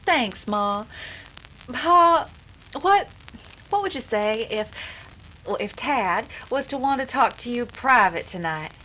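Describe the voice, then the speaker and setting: Woman as a high-voiced spirited ranch girl. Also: expressive, takes it slowly, long pauses, bright, forceful tone, a little hiss or hum, full character voice, rough recording. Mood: shy, eager. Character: spirited, earnest young rancher's daughter. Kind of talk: 1930s western radio acting.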